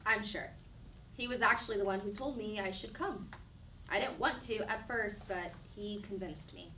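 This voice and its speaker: A woman's voice: medium pitch, moderate pace, bright, forceful tone. An actress playing a defiant, wounded twenty-three-year-old woman in a stage play, speaking earnestly and defensively. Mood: guarded, softer. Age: young adult. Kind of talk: one-act play dialogue. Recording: roomy echo, distant mic, faint noise.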